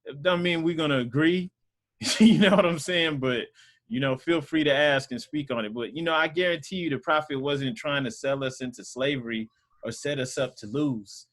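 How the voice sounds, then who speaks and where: A man's voice: a little high, moderate pace, fairly bright tone. A man casually teaching a Sunday school group over a video call, talking loosely with lots of fillers. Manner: loose and conversational, many fillers.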